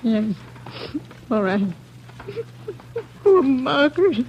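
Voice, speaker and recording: A woman's voice: high voice, very animated, drawn-out words, a little hiss or hum, exaggerated voice. An American woman acting a shaken, grieving neighbour in a 1940s radio drama, faltering and mournful. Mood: subdued grief. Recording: dull old radio recording.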